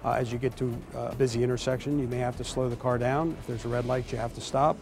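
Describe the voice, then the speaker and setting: Fast, even-toned man with a creaky voice. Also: mid-range voice, over music. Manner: technical, formal wording. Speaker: air traffic expert being interviewed. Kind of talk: explanatory interview answers.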